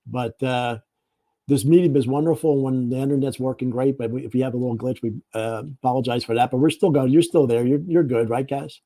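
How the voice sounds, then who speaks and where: Host: man, mellow tone, friendly and rambling, casual questions on a video call. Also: medium pitch, steady tone, fairly quick, no echo. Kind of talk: casual interview chat.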